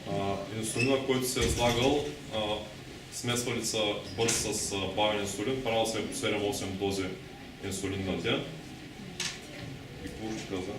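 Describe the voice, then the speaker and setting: A man interpreting a talk and summarizing quickly, with frequent filler sounds. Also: on the low side, steady tone. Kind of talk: consecutive interpreting. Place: conference hall. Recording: echoey hall, distant mic, noise.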